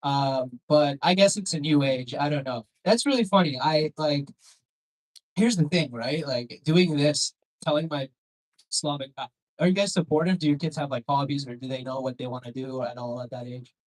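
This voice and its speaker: This is a Canadian man doing stand-up on a comedy club stage, in a voice pitched a bit higher than most men's. He talks in a rambling, conversational way with a sardonic edge, swears, and turns to question the audience as he goes. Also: normal speed, poor audio. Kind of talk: stand-up comedy with crowd work.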